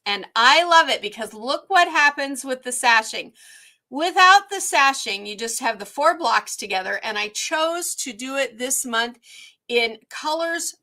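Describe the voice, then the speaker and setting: Warm-up host for a craft stream: woman, a little hoarse, upbeat, filler-heavy, bright tone. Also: sits high, animated, measured pace. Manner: chatty, upbeat, frequent ums. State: slightly hoarse. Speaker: quilting workshop host. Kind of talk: live online quilting tutorial.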